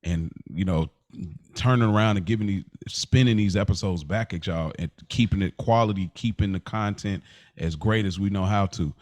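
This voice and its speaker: A man with a fairly low voice co-hosts a podcast in a close, dry recording. He talks casually and reflectively, often restarting as he deliberately works out his phrasing. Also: darkish tone, no room sound. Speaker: podcast co-host.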